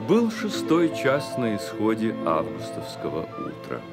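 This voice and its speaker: A man narrating a literary story for a Soviet-era radio play, measured and expressive, with deliberate pauses. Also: lowish voice. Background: music.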